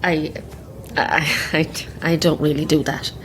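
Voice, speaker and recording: A woman's voice: low-pitched, fairly even intonation, unhurried, slight background noise. A woman playing a friendly, teasing photographer passenger in a naturalistic audio drama. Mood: flustered.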